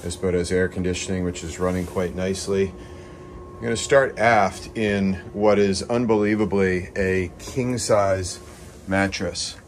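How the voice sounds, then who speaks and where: A man's voice: deep voice, steady tone, measured pace, a little hiss or hum. A middle-aged man and yacht broker giving an enthusiastic, casual walkthrough of a sailboat, with frequent fillers, filmed on a handheld phone at a boat show. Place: on a sailboat at a dockside boat show, outdoors and in the cabin. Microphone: handheld phone camera.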